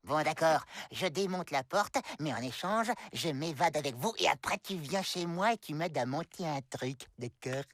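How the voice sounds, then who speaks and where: A woman's voice: sits low, animated, normal speed, hardly any pauses, no echo, clean studio sound. A woman voicing a naive, cheerful male inventor in a cartoon, eager and guileless. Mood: matter-of-fact. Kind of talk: French animated comedy dubbing. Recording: music behind the voice.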